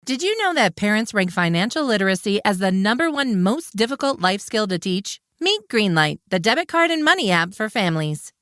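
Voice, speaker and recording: A woman's voice: mid-range voice, sing-song, fairly quick, hardly any pauses, fairly bright tone, no room sound, studio quality. A woman reading a scripted advert as an announcer.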